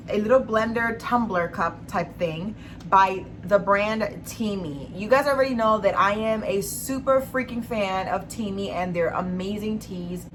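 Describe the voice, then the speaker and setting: A young woman with a raspy, hoarse voice, casually chatting through a favorites vlog with lots of enthusiasm. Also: medium pitch, a bit bright, slight background noise. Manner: chatty, enthusiastic, many fillers. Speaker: beauty vlogger.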